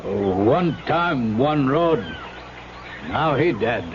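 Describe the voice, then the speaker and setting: A muffled old radio recording with clear background noise of buzzing insects carries a highish male voice. It is a wary, superstitious islander. He draws out his pidgin English very slowly. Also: expressive. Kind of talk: 1970s radio drama acting.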